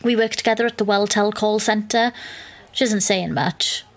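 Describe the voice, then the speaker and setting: A woman's voice: medium pitch, fairly even intonation, moderate pace, close to an everyday voice. An actress playing an exasperated, wry young woman, narrating and talking in an audio drama. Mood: gossipy, grudging. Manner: dry, sarcastic.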